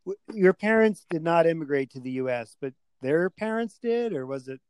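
A man's voice: fairly high pitch, expressive, normal speed, a little mellow. A man hosting a casual podcast chat with a friend, tired after a long day and rambling with many fillers.

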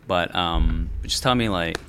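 Deep-voiced host, casual and affirming. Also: male voice, measured pace, a bit bright, faint music behind. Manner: affirming, many yeahs and fillers. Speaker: podcast host. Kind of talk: casual interview questions.

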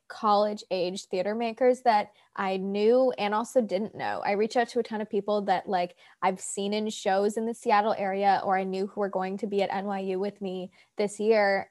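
A woman's voice: medium pitch, darkish tone. An eighteen-year-old woman, a company founder, telling her story in a chatty, filler-heavy way. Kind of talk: casual interview answer.

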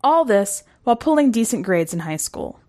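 A woman's voice: medium pitch, big pitch swings, moderate pace, a little mellow. A woman reading a first-person short story aloud in a conversational, wry way. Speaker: story narrator.

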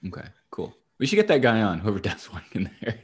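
A man with a fairly low voice hosts a casual sports talk show. He chats in a wry, dry way and swears freely as he gives his commentary. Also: huge pitch swings, fast, husky. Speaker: sports podcast host.

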